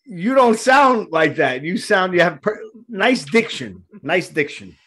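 A man's voice: sits high, big pitch swings, measured pace, fairly bright tone, husky. A man in his fifties with a New York accent, hosting a casual video-call interview with loose, joking banter. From Queens, New York. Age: 50s. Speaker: host. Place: home studio full of rock memorabilia. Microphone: headset on a video call.

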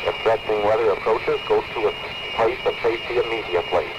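A muffled vintage radio recording swamped in loud noise carries an American man's low voice. He reads official weather bulletins in a deliberate, formal announcer style. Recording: noisy muffled 1979 radio tape.

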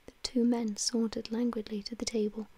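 Female narrator, soft whispered literary reading, even and mellow. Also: fairly high pitch, normal speed. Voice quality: breathy unvoiced whisper. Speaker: audiobook narrator.

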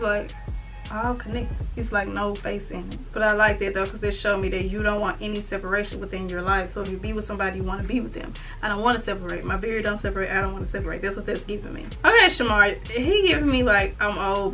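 Bright-voiced teasing young woman vlogger. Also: a little high. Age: 20s. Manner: animated, teasing, talks to phone screen. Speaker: YouTuber. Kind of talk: casual reaction vlog. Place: bedroom, indoors.

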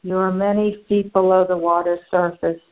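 A woman channeling a serene, benevolent spiritual master, guiding a meditation in slow, short phrases with long pauses. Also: lowish voice, flat delivery, close to an everyday voice. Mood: serene, reverent. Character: serene, benevolent ascended master St. Germain addressing followers. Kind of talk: guided meditation. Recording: dull lo-fi sound.

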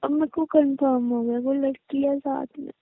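Young female, high voice, accusatory and upset, tinny phone line. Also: fairly even intonation, moderate pace, no room sound. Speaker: girlfriend on a phone call. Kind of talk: casual phone conversation.

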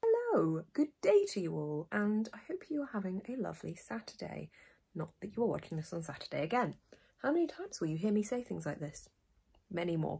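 Low, soothing woman vlogging. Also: gravelly, darkish tone, slightly rough. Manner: chatty, self-deprecating. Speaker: book vlogger. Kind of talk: casual chat to camera. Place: bright bedroom with bookshelves, indoors. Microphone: phone or camera at arm's length.

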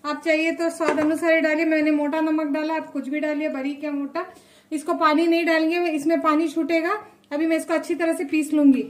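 Chatty high-voiced woman cooking at home. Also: little pitch movement, a little fast, background noise. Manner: chatty, repeats "look" and blessings often. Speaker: cooking channel host. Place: home kitchen, indoors.

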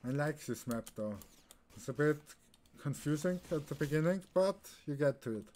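A man's voice: on the high side, lively intonation, moderate pace, darkish tone. A young man from Austria, a native German speaker with an Austrian accent, giving casual live commentary as he streams a game. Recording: clear keyboard and background noise.

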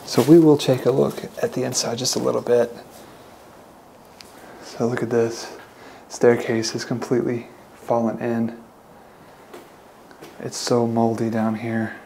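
A man's voice: medium pitch, little pitch movement, measured pace, gravelly, pauses often, mellow. A young man hosting a casual walking tour vlog, chatting to viewers as he explores an abandoned mansion, recorded on a handheld camera. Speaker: urban explorer host. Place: outdoors and inside an abandoned mansion. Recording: noisy outdoor camera audio.

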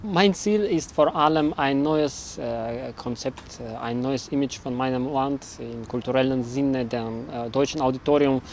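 A man answers interview questions slowly and haltingly, pausing often as he searches for words. His pitch sits in the middle range. Faint noise runs under the recording. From Belarus. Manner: halting, frequent hesitations. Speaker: cultural manager fellow.

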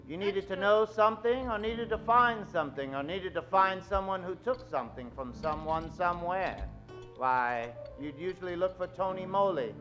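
A man in middle age narrating an old-time radio drama on stage, suave, with dramatic pauses. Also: high voice, animated, fairly bright tone, faint music behind. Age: middle-aged. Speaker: host and announcer of a radio show. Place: stage of a small theatre. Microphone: vintage-style stand microphone.

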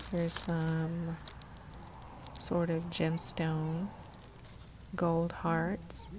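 A woman vlogger giving a casual, unhurried, descriptive narration of what she is showing while shopping. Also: low-pitched, a little mellow, slight background noise.

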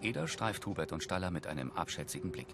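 A man narrates what happens on screen as scripted audio description. His voice is low with lively movement in pitch, and music plays behind him. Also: fast.

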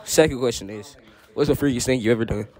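A man's voice: medium pitch, fast talker, a little mellow. A teenage male student doing casual, slangy street-style interviews at school. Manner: slangy, informal. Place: high school classrooms and cafeteria. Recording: busy crowd chatter behind.

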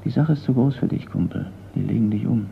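An actor playing a blunt, sardonic, wary forgery expert in a radio crime drama. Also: male voice, lowish voice, fast, creaky. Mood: wary, warning. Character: gruff, wary museum craftsman and forgery expert, a man. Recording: dull, noisy radio play recording.

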